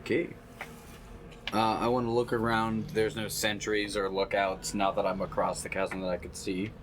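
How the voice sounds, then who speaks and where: A man's voice: lowish voice, level tone, moderate pace, a little hiss or hum. A man chatting casually as a player in a group tabletop game.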